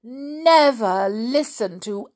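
A woman acts an old storybook granny, caring yet firm. She instructs slowly and emphatically. Her pitch rises and falls a lot as she gently scolds. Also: expressive. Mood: firm, comforting.

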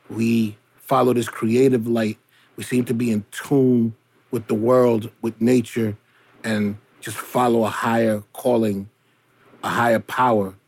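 A man, a hip hop musician, talking reflectively and earnestly to introduce his songs, in measured phrases with short pauses. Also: mid-range voice, vocal fry, a little mellow, husky, no echo. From South Bronx, New York, United States.

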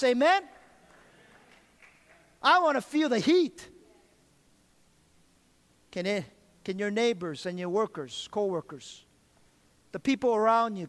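A man's voice: high voice, fairly bright tone. A middle-aged man preaching an earnest sermon as pastor, often repeating and restarting his phrases and calling for amens. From Korean heritage. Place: large church sanctuary. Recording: long reverb of a church hall.

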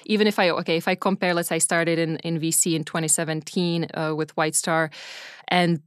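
Smooth lower-pitched woman in conversation. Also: flat delivery, brisk, dry recording, clean studio sound. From Czech Republic. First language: Czech. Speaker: interview guest, venture capital investor. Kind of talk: casual conversational interview.

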